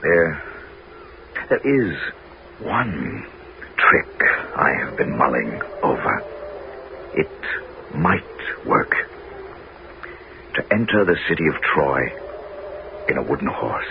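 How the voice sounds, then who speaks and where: A man's voice: very deep voice, big pitch swings, takes it slowly, creaky, long pauses, exaggerated voice. An actor playing a wily, homesick Greek warrior king in a 1970s radio drama. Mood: weary, brooding. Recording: dull, noisy old radio broadcast recording.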